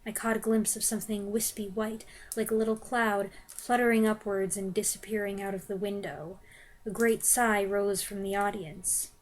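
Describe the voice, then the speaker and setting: A woman giving an expressive dramatic reading of a children's book, with joking asides. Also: mid-range voice, moderate pace, rough recording. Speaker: reader.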